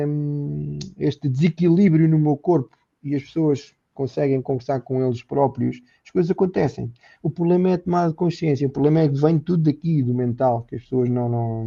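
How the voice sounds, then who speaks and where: Portuguese-accented male therapist guest, slightly high and gravelly, earnest and explanatory. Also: fairly even intonation, moderate pace, dark tone, muffled recording. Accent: European Portuguese. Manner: earnest, explanatory, repeats words while thinking. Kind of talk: conversational podcast interview.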